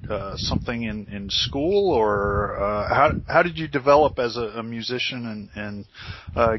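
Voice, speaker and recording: A man in his fifties interviewing a musician, asking questions in a halting way with many fillers. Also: mid-range voice, noisy background. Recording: dull lo-fi sound.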